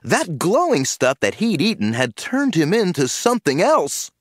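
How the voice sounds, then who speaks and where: A man narrating an audiobook in the first person with lively storytelling. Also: high voice, no room sound, studio quality. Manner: lively storytelling, slow and animated.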